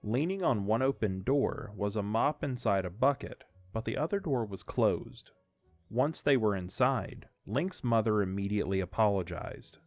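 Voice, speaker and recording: Male narrator for fantasy audio drama: fairly low voice, dry close sound, scripted storytelling, faint music underneath. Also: lively intonation, normal speed, a little mellow, no echo.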